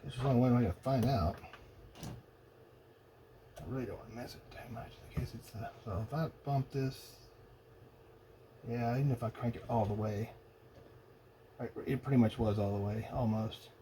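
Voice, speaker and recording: A man thinking aloud in a halting, casual way as he tests electronics at a workbench. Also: mid-range voice. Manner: muttering, halting, pondering to himself. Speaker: hobbyist demonstrating electronics testing. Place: indoor cluttered electronics workbench. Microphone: old phone used as camera above bench. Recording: noisy phone-camera workshop recording.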